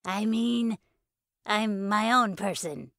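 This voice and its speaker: A woman voicing a small, eccentric, cryptic older woman with a crackly, raw voice and drawn-out, sing-song delivery in an audio story. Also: fairly bright tone, no echo, studio quality. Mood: cryptic, solemn. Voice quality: high-pitched, crackly, raw.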